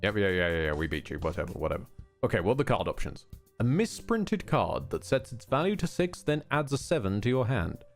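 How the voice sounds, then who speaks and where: A male live streamer with an animated, swinging pitch talks casually through a game. He grumbles wryly, swears freely and often breaks off his own sentences, with music playing faintly behind him. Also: a little fast. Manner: sweary, self-interrupting, wry grumbling.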